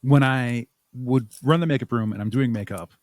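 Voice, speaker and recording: A man's voice: medium pitch, normal speed, a little mellow, dry recording. Man, mid-range voice, casual interview guest, reflective, searching for words, dry sound. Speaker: podcast guest, haunt makeup artist and audio drama creator.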